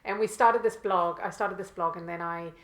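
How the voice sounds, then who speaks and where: A woman from Malawi, a guest author, answering interview questions hesitantly with many pauses and restarts. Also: lowish voice, a bit bright. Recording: echoey room.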